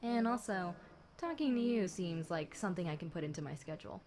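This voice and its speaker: A woman's voice: fairly low pitch, lively intonation, darkish tone, lightly acted. A woman playing a chatty, centuries-old fallen angel demon girl, talking wryly and conversationally to one listener in an audio roleplay. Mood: friendly, confiding. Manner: conversational, wry, unhurried, dramatic musing. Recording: clear background noise.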